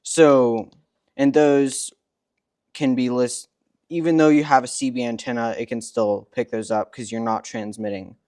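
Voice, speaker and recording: Smooth-voiced man demonstrating gear, dry sound. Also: mid-range voice, fairly even intonation, a little slow, darkish tone, no room sound. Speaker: product demonstrator. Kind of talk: step-by-step tutorial explanation. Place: indoors in a workshop.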